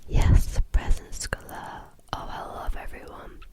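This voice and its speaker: A woman, reading a bedtime story in a soft ASMR whisper. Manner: soft, slow, lilting whisper.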